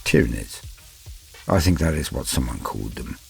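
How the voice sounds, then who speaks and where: A man's voice: very deep voice, animated, vocal fry, darkish tone, soft background music, voice pushed down, exaggerated voice. A man playing a fearful, despairing human soldier, telling his story in grave, theatrical first-person narration. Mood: hushed dread. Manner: grave, theatrical, deliberate phrasing.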